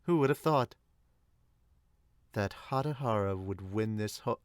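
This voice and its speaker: A man voicing an excitable golf announcer in an amateur manga dub. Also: on the low side, a little slow, smooth, no echo, studio quality, close to an everyday voice. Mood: astonished. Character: excitable male golf announcer calling the match.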